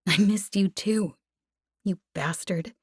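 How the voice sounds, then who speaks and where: A woman voicing a tough but awkward young woman pilot in an audiobook, guarded and halting. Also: medium pitch, a little mellow, husky, no room sound, studio quality. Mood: choked, tender. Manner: halting, muttering, emotionally guarded.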